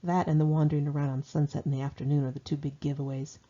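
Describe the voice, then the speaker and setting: A woman's voice: low voice, flat delivery, fast talker, mellow. A woman reading her own memoir and diary entries aloud as informal audiobook narration, in a wry, sardonic, conversational way, on a dull lo-fi home recording.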